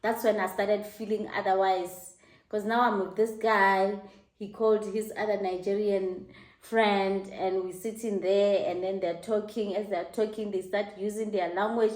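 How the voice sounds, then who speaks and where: South African woman telling a story, echoey. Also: a little slow. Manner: animated, laughing, high-pitched mock exclamations. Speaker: host. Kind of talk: casual storytelling to camera. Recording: echoey room.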